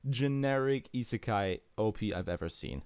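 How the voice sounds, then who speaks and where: A man's voice: lowish voice, expressive, normal speed, darkish tone, smooth. A man chatting casually as a podcast host, emphatic and full of swearing.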